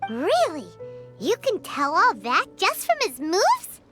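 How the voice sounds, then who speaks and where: A tiny fairy sidekick from a video game, extremely high and squeaky, drawing words out very slowly. The childlike, feisty character is expressive and cartoonish, with music behind. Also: female voice, exaggerated voice. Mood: puzzled, curious. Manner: exaggerated, expressive cartoonish delivery. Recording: loud background music.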